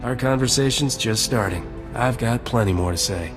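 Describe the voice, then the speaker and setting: A man's voice: mid-range voice, normal speed, over music, lightly acted. A man playing a suave, confident, flirtatious space smuggler in a video game cutscene. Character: cocky, romantic roguish male space smuggler.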